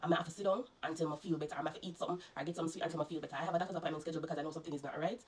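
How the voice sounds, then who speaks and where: A woman's voice: deep voice, steady tone, rapid-fire. A Jamaican woman vlogger, congested and coughing, earnestly recounting her illness to her viewers and warning them. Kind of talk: personal storytelling to viewers.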